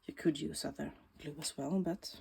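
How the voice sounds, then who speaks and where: A woman with a Swedish accent, Swedish native, talking through a bookbinding tutorial in a slow, thoughtful way that often drops to a soft whisper while she works. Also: deep voice, steady tone, creaky, slightly rough. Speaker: bookbinding crafter. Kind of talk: casual craft tutorial.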